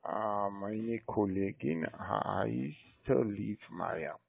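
A man with a foreign accent makes a sales call in a lowish, gravelly voice. His non-native phrasing is halting. It comes over a narrow phone line. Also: animated, no echo. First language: not native German speaker. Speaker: cold-calling online trading agent.

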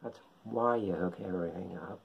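A middle-aged man with a husky voice gives an informal beginners' tutorial, explaining haltingly in short phrases at home. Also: low-pitched, lo-fi sound. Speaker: hobbyist radio instructor. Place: home living room.

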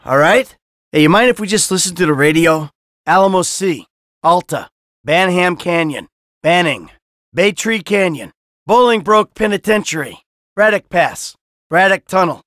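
A man's voice: high voice, a bit bright, dry recording, clean studio sound. A man playing a cynical, short-tempered middle-aged American criminal in a video game, with a blunt, sardonic tough-guy delivery. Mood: agitated.

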